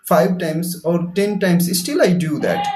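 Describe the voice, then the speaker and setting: A man's voice: high-pitched, expressive, a little slow, background noise. A young man whose first language is Hindi, giving an earnest, repetitive motivational English lesson from a ground-floor room at home. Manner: earnest, repetitive, addresses viewer directly. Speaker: English teacher. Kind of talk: motivational talk to camera.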